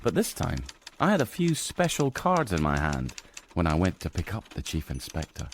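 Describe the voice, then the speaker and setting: An actor playing an eager, deferential British police sergeant in a radio drama, earnest and easily distracted. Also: male voice, sits low, wildly swooping pitch, moderate pace, background noise, heavily acted. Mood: wry. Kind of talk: radio drama acting with narration.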